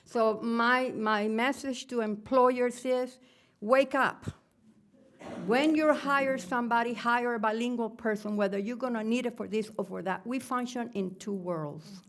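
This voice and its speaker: A woman's voice: on the high side, a little slow. A woman caretaker speaking on a public panel, direct and pleading.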